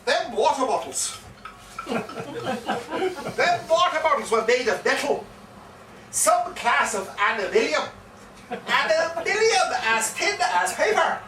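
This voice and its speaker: An actor playing an excitable Irish pub yarn-spinner, theatrically repeating himself with relish in a live comic stage monologue. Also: male voice, very high voice, slow, pauses often, full character voice. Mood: insistent explaining. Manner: theatrical, repeats phrases with relish and dramatic emphasis. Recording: echoey live pub recording, distant mic, faint noise.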